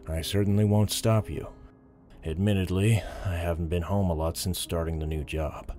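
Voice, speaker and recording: A man's voice: deep voice, steady tone, moderate pace, warm tone, natural voice. A man playing a weary, devoted policeman with a dry, earnest manner in an audio drama. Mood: tender. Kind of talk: dramatic audio drama dialogue and inner monologue. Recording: roomy reverb, faint music behind.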